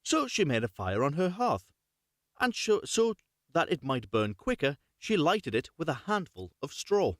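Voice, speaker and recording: Man with lively, swooping pitch, rambling casually. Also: unhurried, no echo, clean studio sound. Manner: rambling, self-correcting, apologetic about slips. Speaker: host of a live story reading. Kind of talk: casual live chat and unrehearsed storybook reading.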